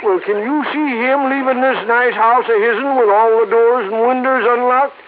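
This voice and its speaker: An actor playing a cantankerous old Western ranch hand, talking in a cackly, folksy drawl in a 1950s radio drama. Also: male voice, very high-pitched, moderate pace. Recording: phone-like old radio recording with hiss.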